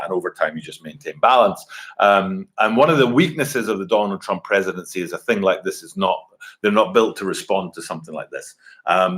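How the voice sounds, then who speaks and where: A man's voice: low-pitched, a bit bright. A man, chatting casually on a live stream from his home computer, rambling with lots of fillers and restarts. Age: 40s. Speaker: livestream host. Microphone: computer webcam mic.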